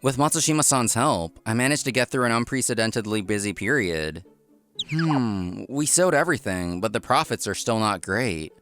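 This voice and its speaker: A man's voice: medium pitch, expressive, normal speed, fairly bright tone, lightly acted. A man playing an earnest, work-obsessed young restaurant owner who narrates his own manga story and gets flustered easily. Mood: earnest, reflective. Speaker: narrator and lead character. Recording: music behind the voice.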